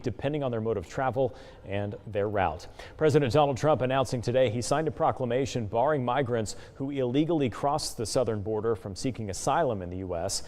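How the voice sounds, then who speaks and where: A man's voice: medium pitch, darkish tone. An American man delivering broadcast news as an anchor.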